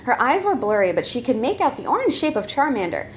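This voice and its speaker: A woman's voice: a little high, sing-song, quick pace, a little hiss or hum. A woman reading a comedic story aloud live, narrating in a playful, giggly way and readily breaking character. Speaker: troupe member reading own comedy story.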